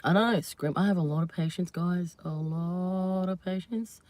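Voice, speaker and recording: Chatty, slightly hoarse woman vlogger. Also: fairly low pitch, unhurried, a little mellow, no echo. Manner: chatty, rambling, laughs often. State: hoarse from screaming. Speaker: channel host. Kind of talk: casual live vlog chat to viewers.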